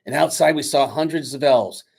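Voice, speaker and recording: A man speaking to schoolchildren as their teacher, reading a storybook aloud in an expressive, dramatic way. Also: a little high, normal speed, creaky, husky. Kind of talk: children's storybook read-aloud and chat to pupils. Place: indoors, recorded remotely on video.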